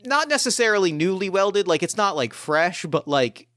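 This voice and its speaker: Clear-voiced man narrating a tabletop game. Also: high-pitched, big pitch swings, fairly bright tone, dry recording, clean studio sound. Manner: halting, repeats phrases as he builds descriptions. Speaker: game master.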